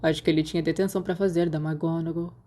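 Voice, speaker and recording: Guarded storybook teen boy, read by a woman: Brazilian, low and mellow, reserved delivery. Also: steady tone, fast. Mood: fond. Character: guarded, reticent teenage boy, fond of his friends.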